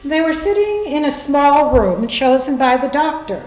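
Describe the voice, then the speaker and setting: A woman's voice: high-pitched, sing-song, normal speed, darkish tone. A woman narrating a literary story as a scripted staged reading. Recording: echoing room.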